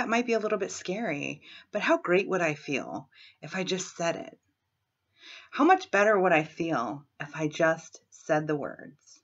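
A woman in her thirties, hoarse from a head cold, talking frankly and clearing her throat as she guides a tapping session at home on her laptop webcam. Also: medium pitch. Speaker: tapping coach. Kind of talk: self-help vlog with guided tapping. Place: small room at home, indoors. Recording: dull lo-fi webcam sound.

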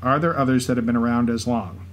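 A man's voice: mid-range voice, fairly even intonation, fast, close to an everyday voice. A man co-hosting a podcast, telling stories in light scripted banter. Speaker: podcast co-host. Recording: clear background noise and ambience.

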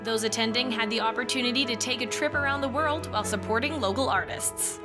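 A woman reporter narrating a local news feature as a scripted voice-over, crisp and even. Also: on the high side, quick pace. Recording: voice-over with music bed.

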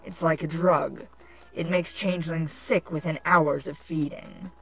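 A woman voicing a haughty changeling queen villainess with a scolding, deliberate manner, in a cartoon fan-fiction audio drama. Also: deep voice, fairly even intonation, vocal fry, slightly rough, heavily acted, rough recording. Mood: haughty lecturing. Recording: music under the voice.

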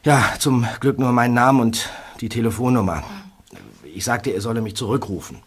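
A man's voice: mid-range voice, husky, natural voice. A man playing a worried young male detective in a radio play, who stammers when upset. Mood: worried, flustered. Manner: stammering, hurried. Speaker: teen detective.

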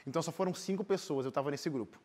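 Young man, Brazilian accent, rapid pace, slightly high pitch, informal storytelling, animated, TV interview guest. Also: crowd noise. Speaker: interview guest, singer and missionary volunteer. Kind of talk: casual personal storytelling in an interview. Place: TV studio, seated.

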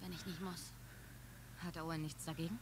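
A woman's voice: low-pitched, flat delivery, moderate pace, over a noisy crowd. Abby: a blunt young woman soldier. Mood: casual. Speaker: video game character. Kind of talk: German game dub.

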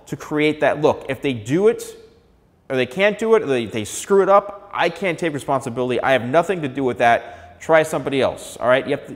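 A man, a hairstylist talking casually to camera, gesturing and saying "all right" often. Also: a little high. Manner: conversational, gestures a lot, frequent "all right". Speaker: hairstylist and channel host. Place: large studio room with piano, indoors. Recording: long reverb in a bare room.

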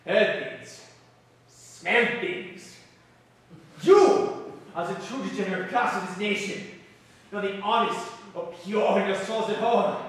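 A man's voice: sits high, animated, takes it slowly. Henri, a man playing a fiery revolutionary. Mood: furious. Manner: scornful, ranting, theatrical declamation. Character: fiery male revolutionary posing as a duke. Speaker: revolutionary in disguise. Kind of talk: audio drama acting. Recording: echoey hall, voice from far off.

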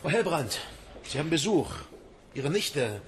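An actor playing a stern, guarded psychiatric doctor in a radio play, clipped and authoritative. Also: male voice, a little high, sing-song, unhurried, noisy background. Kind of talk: naturalistic radio drama dialogue.

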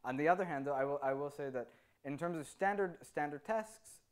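A man's voice: a little high, brisk, clean tone. A man in his thirties whose native language is Hebrew, a conference speaker answering audience questions on a handheld microphone and often ending his points with "right?". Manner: animated, gestures with free hand, tags sentences with "right?". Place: meeting room with audience.

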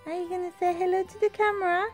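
A woman in her thirties chatting casually to camera and to her young children in a home vlog, sing-song and animated with the kids. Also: very high voice, quick pace, faint music behind. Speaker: vlogger and mother. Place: indoors at home, kitchen and bedroom.

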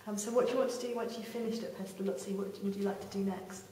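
A woman interviewing a student, asking questions with quick, encouraging interjections. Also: medium pitch, fairly even intonation, dark tone, a little hiss or hum. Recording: echoey room from far off.

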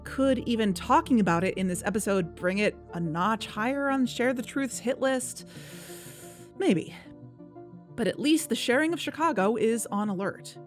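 A woman's voice: fairly high pitch, expressive, normal speed, soft background music, close to an everyday voice. A woman playing an anxious, driven female podcast investigator in an audio drama, earnest and urgent. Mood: serious, measured.

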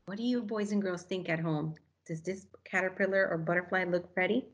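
A woman in her thirties doing an animated storybook read-aloud for children, recorded indoors. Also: lowish voice, brisk, a little hiss or hum.

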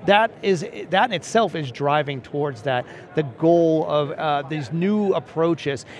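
A man in his forties with a voice pitched a little high for a man talks through survey findings at a steady, explanatory pace. He is seated at a booth on a busy convention floor and keeps checking in with "right?" as he makes each point. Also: a little slow. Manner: steady explanatory, cites statistics, frequent "right?". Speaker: principal analyst. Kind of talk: conversational analyst interview.

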